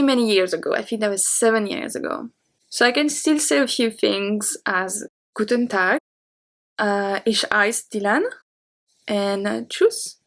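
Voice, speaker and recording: Woman, 30s, French accent, native French. Chatty YouTube host answering questions. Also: mid-range voice. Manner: chatty and open, with light laughs. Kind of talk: casual Q&A talking to camera.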